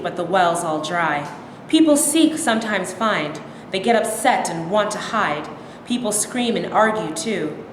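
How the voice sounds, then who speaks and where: A woman's voice: mid-range voice, lightly acted. Echoey, rhythmic woman acting an imaginary woman reciting. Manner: measured, rhythmic, declamatory. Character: imaginary woman solemnly reciting rhymes about human struggle. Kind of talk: verse recitation in staged play reading. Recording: very echoey hall, clear noise.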